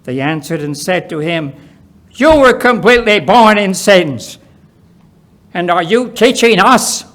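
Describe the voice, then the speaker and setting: A man with a high-pitched voice reads scripture aloud at a very slow, deliberate pace. He sounds solemn, and he leans emphatically into the quoted dialogue. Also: animated, a bit bright. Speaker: scripture reader at a church. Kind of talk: solemn Bible reading, dramatized dialogue.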